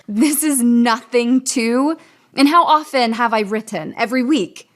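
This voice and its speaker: A woman's voice: on the high side, unhurried, close to an everyday voice. An actress in a radio play as a proud, selfless young woman in love, speaking earnestly and insistently in a theatrical style. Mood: incredulous. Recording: music under the dialogue.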